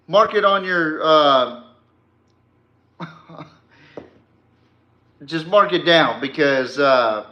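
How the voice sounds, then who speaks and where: Man, sports podcast host, unhurried pace, bright forceful tone, casual live monologue, emphatic, opinionated. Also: sits high. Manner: swears casually, emphatic, opinionated fan talk.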